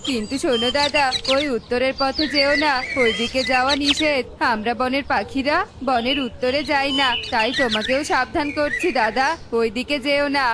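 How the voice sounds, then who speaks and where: A woman's voice: high voice, normal speed, few pauses, very noisy, natural voice. A woman voicing a friendly, cautious male forest bird in a children's cartoon. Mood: friendly warning.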